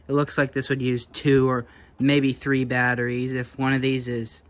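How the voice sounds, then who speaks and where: A man's voice: mid-range voice, monotone, normal speed, a little hiss or hum. A man giving a casual, unscripted show-and-tell as a hobbyist presenter, thinking aloud in an offhand, hesitant way. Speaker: hobbyist presenter showing vintage electronics.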